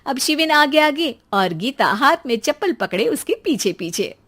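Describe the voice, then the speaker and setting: A woman's voice: high voice, sing-song, moderate pace, a bit bright. A woman storyteller reading scripted narration for a children's comedy tale.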